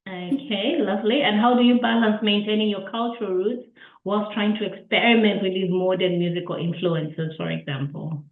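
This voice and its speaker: A woman's voice: medium pitch, steady tone, normal speed. Woman interviewer on a tinny, echoey line. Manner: warm, chatty, with restarts and laughs. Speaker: interviewer and host. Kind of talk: interview over a call.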